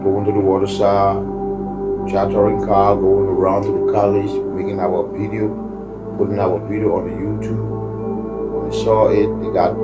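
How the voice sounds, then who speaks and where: A man from Liberia, telling his story earnestly and haltingly, in a preacher-like way. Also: fairly low pitch. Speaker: community activist and musician. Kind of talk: earnest personal testimony. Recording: distant voice over loud music.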